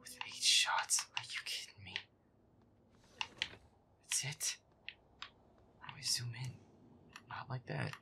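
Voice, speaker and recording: Casting: male actor as grumbling, sweary werewolf boyfriend for gameplay roleplay audio; slow, whispery, creaky delivery. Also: mid-range voice. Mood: tense muttering. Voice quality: breathy, whispery, gravelly. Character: feisty, foul-mouthed werewolf boyfriend, easily spooked, grumbling. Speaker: video game player.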